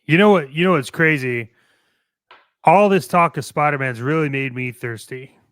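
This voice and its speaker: A man's voice: a little high, normal speed, a bit bright, clean tone, dry recording. A man with an American accent co-hosting casually, with a joking, mock-incredulous way of talking. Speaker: co-host of a toy collecting show. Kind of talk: casual banter.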